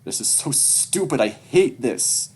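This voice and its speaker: A man voicing a distraught young male furry animal, pleading desperately in a shrill, strained voice in a dramatic story. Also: slow pace, warm tone. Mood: frustrated distress.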